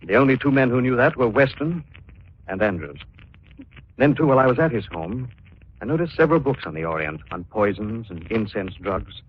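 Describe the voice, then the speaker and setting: An actor playing a calm, thoughtful gentleman detective in 1940s radio drama style. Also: medium pitch, brisk, lightly acted. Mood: grave, reflective. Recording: dull, noisy 1940s radio recording.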